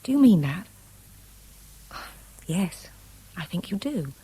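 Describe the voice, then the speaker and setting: A woman's voice: lowish voice, very animated, slow, mellow, a little hiss or hum, low-quality recording. Lilting, poised English woman. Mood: sympathetic, candid. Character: poised divorced woman, candid, gently teasing, cautious in love. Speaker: actor. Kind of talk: 1970s British radio drama acting.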